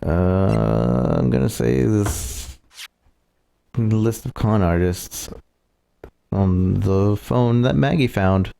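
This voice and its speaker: A woman voicing an earnest, unsure young male defense lawyer in a courtroom game, sometimes halting, sometimes assertive. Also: extremely low pitch, animated, gravelly, mellow, exaggerated voice. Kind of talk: courtroom video game voice acting.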